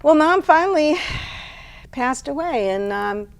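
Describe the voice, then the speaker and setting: An older woman speaking in a measured, deliberate way, as if sharing poetry with listeners. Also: high voice, lively intonation, low-quality recording. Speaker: poet and show host. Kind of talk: dramatic poetry reading and casual conversation. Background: plucked guitar music.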